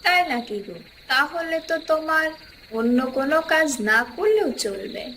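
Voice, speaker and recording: Bright, high-voiced village wife played by an actress. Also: female voice, animated, moderate pace, slight background noise. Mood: caring. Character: village tea seller's caring, fretful young wife. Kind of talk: cartoon voice acting. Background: faint music.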